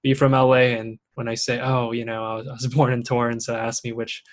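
A man who grew up in Singapore and Shanghai, hosting a relaxed conversation. He talks earnestly and warmly encourages his listeners, picking up speed when he gets animated. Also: medium pitch, fairly quick. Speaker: podcast host. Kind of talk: casual interview chat.

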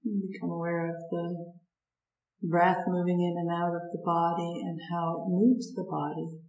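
A woman leading a guided meditation, giving gentle instructions in unhurried phrases with long pauses. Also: fairly low pitch, level tone, echoey room, rough recording.

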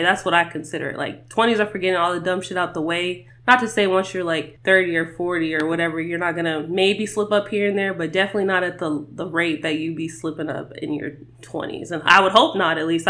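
A woman's voice: lowish voice, fairly bright tone. A woman in her twenties hosting an interview, talking in a loose, chatty way with lots of "like" and easy laughter. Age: 20s. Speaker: podcast host. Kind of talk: casual interview chat.

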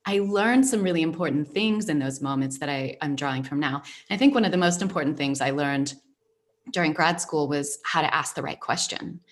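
Lady host with a lowish voice, reflective, tells stories with lots of um's. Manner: reflective, storytelling, frequent "um" pauses. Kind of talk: casual interview conversation. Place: based in Spain.

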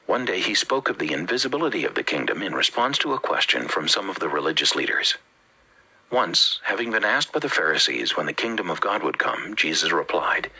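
A man from the Bahamas with a Bahamian accent, reading a religious teaching with measured, emphatic phrasing. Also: low-pitched, bright, forceful tone, slightly rough, low-quality recording. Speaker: preacher. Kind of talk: read-aloud religious teaching.

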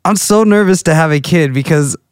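A Texan man in his late twenties rambles along in a loose, goofy monologue, often breaking off his own sentences. His voice sits fairly high for a man and sounds smooth and clean. The recording is dry and close, with no room sound. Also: brisk, no room sound. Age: late 20s. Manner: goofy, rambling, self-interrupting.

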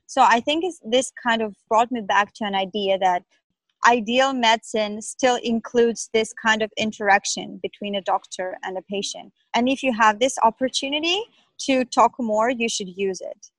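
A young woman doctor speaks English as a second language, and her voice sits fairly high. She answers thoughtfully and hesitantly, often pausing on "like" and restarting. The sound is dry and close, with little room around it. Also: no room sound, poor audio. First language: not English. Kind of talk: interview answers.

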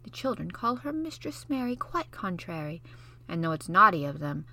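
A genteel lady clucks with pity over a plain child, as a woman reads her lines. The voice is bright and conversational, in a storybook telling. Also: lowish voice, big pitch swings, moderate pace, heavily acted. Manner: pitying. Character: pitying, gossiping grown woman.

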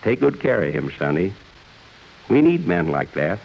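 A low, gravelly old man, gentle and reflective, bidding the world farewell as the old year. He talks in a folksy, fatherly way with a lively rise and fall. It sounds like a dull 1950s radio broadcast with faint music underneath. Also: moderate pace, exaggerated voice. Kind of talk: 1950s radio drama acting.

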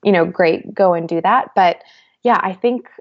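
Young woman, twenties, interview guest. Thoughtful, halting, lots of fillers. Lowish voice, muffled recording. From United States. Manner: thoughtful, hesitant, many fillers and restarts. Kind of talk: reflective conversational interview.

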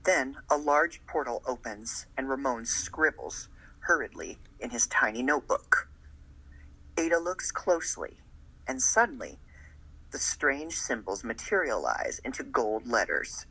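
A radio-play narrator with a breathy, husky voice that rises and falls in a lively way, keeping a hushed storytelling tone. The delivery sounds bright and forward. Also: medium pitch, poor audio. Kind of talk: scripted drama narration.

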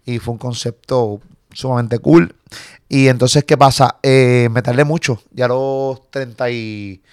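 Animated Puerto Rican man in his forties. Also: medium pitch, clean tone, dry recording, clean studio sound. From Puerto Rico. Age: 40s. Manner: animated, swearing, quick storytelling. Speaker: comedian guest. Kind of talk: casual interview chat.

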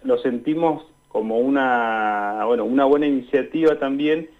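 A man's voice: medium pitch, moderate pace. A young man with an Argentine Spanish accent giving casual interview answers as a guest over a call. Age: young adult. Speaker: student guest, radio drama performer. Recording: dull, lo-fi call audio.

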